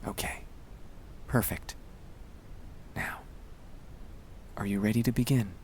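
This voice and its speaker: A man speaking softly and soothingly, often slipping toward a whisper, as he gently guides breathing in a sleep-aid ASMR style. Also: lowish voice, fairly even intonation, takes it slowly. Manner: soft-spoken, soothing, often dropping into whispers. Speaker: ASMR creator guiding breathing exercises.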